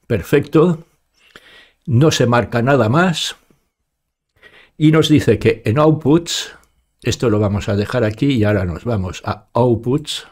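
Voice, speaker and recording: A man in his sixties with an accent from Spain, giving an informal step-by-step software tutorial and talking each step through aloud into a close studio mic. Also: medium pitch, a little slow, long pauses. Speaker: tutorial presenter. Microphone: studio microphone up close, with headphones.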